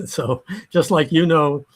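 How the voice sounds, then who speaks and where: An older American man giving an oral history interview, speaking slowly and haltingly with many fillers and restarts. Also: fairly high pitch. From United States.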